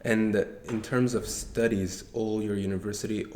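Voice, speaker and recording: A man hosting an interview, asking halting questions filled with ums and uhs. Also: lowish voice, fairly even intonation, noisy background. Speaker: podcast host. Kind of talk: casual interview conversation. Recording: echoey room.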